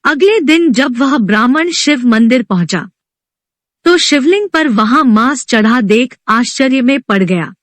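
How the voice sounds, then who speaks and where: A woman's voice: high voice, animated, measured pace, no room sound. A woman narrating a scripted devotional story as a storyteller.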